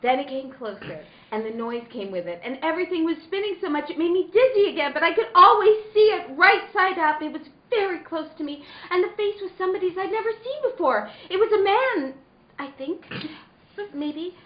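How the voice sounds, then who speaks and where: An actress plays a wistful, frightened woman recalling a strange dream for a radio drama. Her high voice moves in lively swings, reflective and hesitant. The sound is dull and echoey. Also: a little fast. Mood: uneasy, dreamy.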